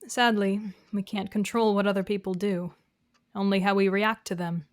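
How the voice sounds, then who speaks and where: Woman playing a calm therapist lady in an audio drama, patient and questioning, mid voice, super dry. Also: medium pitch, moderate pace, no room sound, close to an everyday voice. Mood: concerned, probing. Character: composed, probing female therapist, gently corrective. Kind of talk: naturalistic audio drama dialogue.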